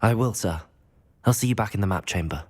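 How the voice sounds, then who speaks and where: An actor playing an earnest young wizard student in a video game, polite, formal and understated. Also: male voice, on the low side, animated, quick pace, a little hiss or hum, lightly acted. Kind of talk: video game dramatic acting.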